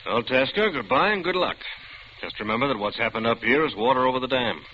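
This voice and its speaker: An actor playing a blunt older prison warden giving advice. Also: male voice, mid-range voice, lively intonation, quick pace, a little hiss or hum, lightly acted. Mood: gruff. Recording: lo-fi old radio drama.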